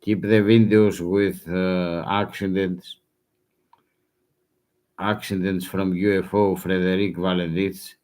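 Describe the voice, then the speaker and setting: A man whose first language is Greek, speaking broken English in a halting, urgent warning with many restarts. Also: deep voice, level tone, darkish tone. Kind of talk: halting self-recorded warning.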